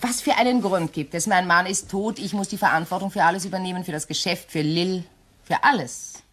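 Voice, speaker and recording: A woman's voice: on the low side, a little fast, fairly bright tone. An actress playing a composed, touchy widowed lady of the house in a crime radio play, genteel and evasive. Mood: plaintive.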